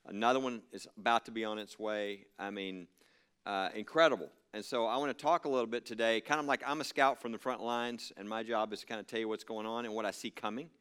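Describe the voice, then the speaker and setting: A man's voice: lowish voice, fairly even intonation, fast talker, fairly bright tone, clear, resonant tone. A man from a religious freedom legal group giving an earnest, emphatic speech to an audience, with frequent fillers.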